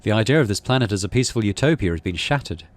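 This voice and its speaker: A man's voice: fairly low pitch, expressive, rapid-fire. A man reading out a story game as narration and adding casual commentary as he plays. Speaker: video game streamer.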